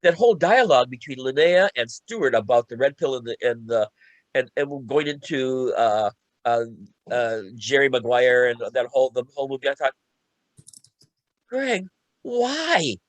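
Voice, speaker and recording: A man's voice: medium pitch, expressive, moderate pace, no echo. A man talking casually on a panel as a co-writer, often repeating himself and restarting phrases as he recounts a creative process. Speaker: radio play co-writer and panelist.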